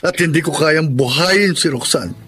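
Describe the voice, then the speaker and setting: Hurt bitter husband, highish male voice, melodramatic radio drama w/ music behind. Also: sharp tone. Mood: hurt, subdued. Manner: emotional, drawn-out melodramatic delivery. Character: wounded, bitter husband of humble means. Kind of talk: Filipino romantic radio drama acting.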